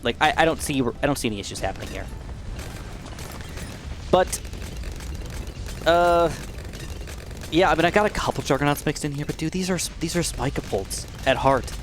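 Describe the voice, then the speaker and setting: A young man giving casual, excitable gameplay commentary, his voice a bit dry and raspy, swinging from upbeat outbursts to mumbled asides. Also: fairly high pitch, normal speed, pauses often, a little hiss or hum. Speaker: gaming YouTuber.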